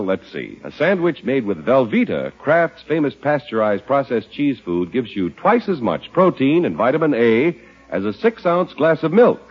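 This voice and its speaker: A man's voice: medium pitch, breathy edge. A man announcing in a polished, emphatic 1950s radio style, reading scripted credits and sponsor copy. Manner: polished, emphatic salesman delivery. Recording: muffled 1950s radio broadcast recording.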